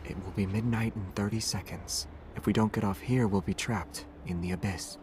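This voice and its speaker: A man playing a calm young monster hunter in a video game, teasing in an understated, deadpan way. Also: lowish voice, moderate pace, slight background noise, lightly acted.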